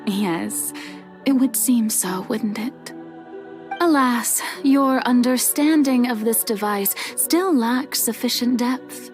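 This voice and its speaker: A woman playing an ancient, self-sacrificing goddess in a video game cutscene, measured and gently teasing, soft and often close to a whisper. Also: a little high, soft background music. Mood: composed, knowing.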